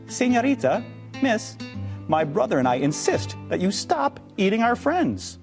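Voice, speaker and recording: A man's voice: high voice, big pitch swings, a little slow, gravelly, soft background music, voice pushed up, full character voice. A man voicing a small, indignant male crab in a storybook, formal and insistent.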